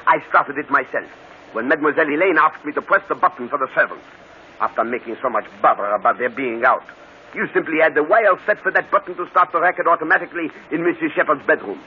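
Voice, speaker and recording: An actor playing a shrewd, courteous, self-assured detective with a French accent, precise and theatrical, in an old radio mystery. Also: male voice, on the high side, quick pace, close to an everyday voice. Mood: triumphant, mocking. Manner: theatrical, precise, mock-polite. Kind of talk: 1940s radio mystery acting. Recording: tinny, hissy old radio broadcast.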